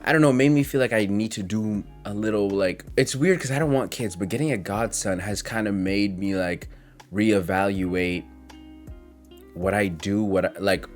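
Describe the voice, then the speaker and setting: A man's voice: lowish voice, normal speed, faint music behind. A man in his twenties talking in a casual, confessional vlog monologue, reflective and hesitant as he rambles through his thoughts. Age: 20s. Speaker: content creator.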